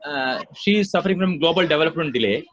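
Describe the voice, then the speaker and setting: A man's voice: on the high side, expressive, quick pace, a bit bright, a little hiss or hum. A Tamil-speaking man with an Indian English accent asking hesitant, polite questions as a parent. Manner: hesitant, many fillers, polite. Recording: dull muffled call audio.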